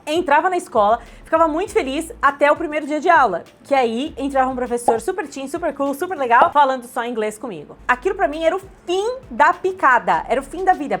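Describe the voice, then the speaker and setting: A Brazilian woman in her thirties, an English teacher talking straight to her viewers in a chatty, animated storytelling way. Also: high-pitched. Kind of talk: direct-to-camera sales talk.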